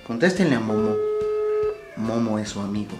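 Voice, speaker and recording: A man's voice: fairly low pitch, animated, normal speed. A young adult man with a Mexican accent chatting casually and playfully to viewers as a vlog host, slangy and often repeating himself. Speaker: YouTube prankster host. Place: small indoor room with shelves. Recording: roomy echo, light music behind.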